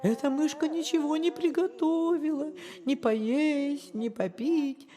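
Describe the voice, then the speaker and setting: Woman acting a plaintive, high battered fox. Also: steady tone, warm tone. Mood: mournful singing. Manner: plaintive, drawn-out lament. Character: weary, battered, trusting female fox.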